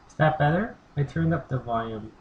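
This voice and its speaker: A man giving casual, thinking-aloud commentary while streaming a game, his voice raspy and tired from little sleep. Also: a little high, a little fast, muffled recording. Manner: relaxed, thinking aloud with fillers. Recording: clear background noise.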